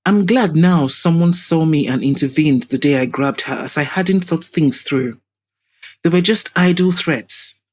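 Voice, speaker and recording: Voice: medium pitch, a little slow, darkish tone, natural voice. A woman voicing a sinister, wealthy older man with a haughty, formal and evasive manner in an audio drama. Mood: smug.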